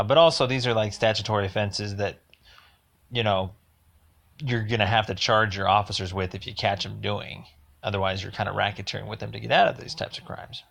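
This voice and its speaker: A man leaving a rambling voicemail complaint, speaking in a halting, insistent and emphatic way. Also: fairly low pitch, vocal fry, fairly bright tone.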